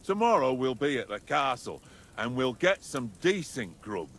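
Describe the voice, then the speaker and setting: An actor playing a gruff, deliberate soldier with a gravelly voice. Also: male voice, mid-range voice, big pitch swings, a bit bright.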